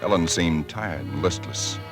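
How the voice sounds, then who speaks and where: A frightened husband haunted by creeping dread. His voice is deep and low, and his tense narration keeps catching and restarting. It sounds like a 1940s radio play, with music underneath. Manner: tense, halting, restarting words.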